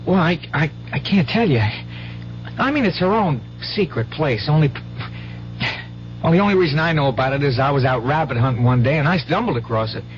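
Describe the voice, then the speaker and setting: Man playing a high-voiced country teenage boy. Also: lively intonation, a little fast, faint music behind, lightly acted. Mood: earnest, hesitant. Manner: rustic, hesitant, youthful speech. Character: protective, resentful country teenage son, eager and earnest. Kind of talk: 1970s radio drama acting. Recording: muffled old radio broadcast, faint hum.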